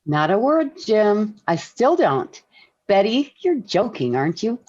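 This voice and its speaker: A sensible, motherly American housewife in a vintage radio comedy. She speaks slowly with very varied intonation and gentle concern, on a muffled old recording. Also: medium pitch, big pitch swings, breathy edge. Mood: puzzled, patient. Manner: measured, motherly. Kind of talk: 1940s radio sitcom acting.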